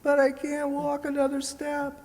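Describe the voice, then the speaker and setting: A starving orphan boy, acted by a woman in a radio play. The voice is high and mellow, halting and pleading, with long hall reverb around it. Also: completely flat delivery. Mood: faint, weary.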